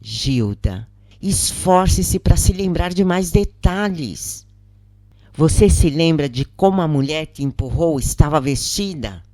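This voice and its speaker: A woman's voice: sits low, animated, takes it slowly, mellow, dry recording. A woman with a Brazilian accent voicing a patient, earnest female prisoner in an audiobook story. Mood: gently probing. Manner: earnest, explanatory. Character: imprisoned woman counselor Joana, grave, patient, confiding.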